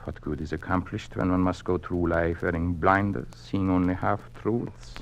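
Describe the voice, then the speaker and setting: Gravelly, embittered old-radio dissident. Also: male voice, low-pitched, level tone, normal speed. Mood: grave, defiant. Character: embittered, defiant male scholar, weary of hiding. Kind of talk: 1950s radio drama acting. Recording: faintly noisy vintage radio recording.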